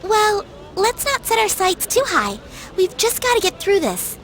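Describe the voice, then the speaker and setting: Very high, animated schoolgirl. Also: unhurried, slight background noise, full character voice. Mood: breezy griping. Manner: casual, expressive. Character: chatty, outspoken high school girl, friendly classmate. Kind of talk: video game voice acting.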